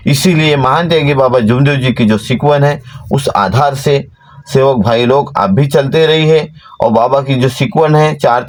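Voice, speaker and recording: A man, giving an earnest, exhorting devotional talk to followers from inside a parked van. His pitch rises when he stresses a point. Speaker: devotional channel host.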